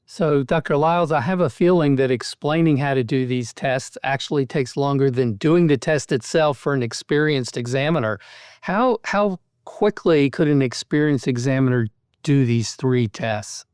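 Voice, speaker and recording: A man with a smooth, clear voice of medium pitch hosts a medical interview. He speaks as a physician interviewer addressing a guest and listeners. The recording is dry and free of room sound. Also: normal speed, darkish tone, no echo. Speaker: interview host, physician editor. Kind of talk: podcast interview hosting.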